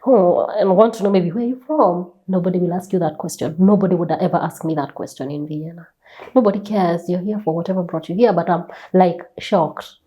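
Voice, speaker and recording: Kenyan lady chatting, lowish mellow voice, repeats stuff for emphasis, lots of rhetorical questions. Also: a little fast. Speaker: guest author. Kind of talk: animated conversational storytelling.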